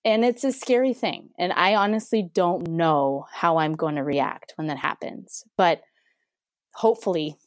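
A woman's voice: fairly low pitch, unhurried, dry recording, clean studio sound. A woman in her thirties from Mexico, talking as an interview guest in a chatty way, laughing often and restarting her sentences. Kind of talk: casual conversation.

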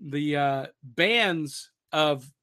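Bright, high-pitched male podcast host. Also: drawn-out words. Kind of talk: casual nostalgic pop-culture chat.

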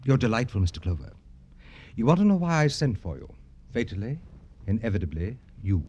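An actor in an old-time radio drama playing an arrogant, jaded, wealthy satirist who talks in florid, affected, theatrical phrases. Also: male voice, on the low side, big pitch swings, fairly quick, darkish tone, husky. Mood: haughty, teasing.

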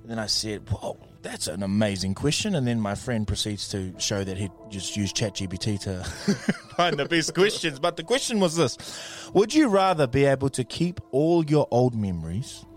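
A man's voice: mid-range voice, sing-song, normal speed, hardly any pauses, faint music behind. A man hosting a morning radio show, teasing and laughing often in casual banter.

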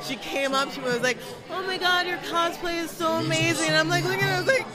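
A woman's voice: very high voice, fast. An American woman chatting casually and emphatically as an interview guest, often doubling back on her phrases. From Florida, US. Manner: effusive, emphatic, repeats herself. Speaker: cosplayer being interviewed. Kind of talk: casual excited interview chat. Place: busy fan convention. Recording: music and crowd noise behind. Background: music, crowd.

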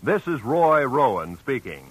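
A man's voice: medium pitch, very animated, a little slow, husky, no echo. An American man announcing in polished, formal 1950s radio style. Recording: vintage radio broadcast.

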